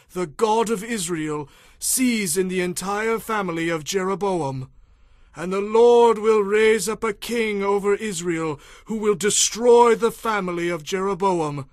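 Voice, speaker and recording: An aged prophet of stern, wrathful temper in a dramatized scripture reading. His voice sits high and moves slowly, with solemn, declamatory weight on each phrase. The sound is dry and close. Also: no room sound. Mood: grave, foreboding. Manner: solemn, declamatory, slow and emphatic. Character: stern old male prophet delivering God's furious judgment. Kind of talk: dramatized audio Bible acting.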